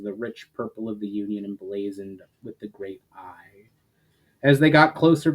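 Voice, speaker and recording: A man's voice: mid-range voice, a little mellow, low-quality recording. A man narrating a fantasy story in a dramatic storytelling style, occasionally stumbling over a word. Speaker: author and narrator reading his fantasy novel aloud.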